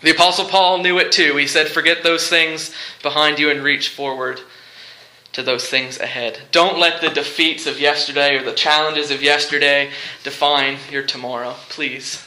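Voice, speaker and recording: A man preaching a sermon to a room, speaking in short, deliberate phrases with rhetorical repetition and some humour. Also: fairly high pitch, fairly even intonation, sharp tone. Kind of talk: sermon with movie illustrations and humour. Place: live talk in a large room before listeners. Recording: echoey room.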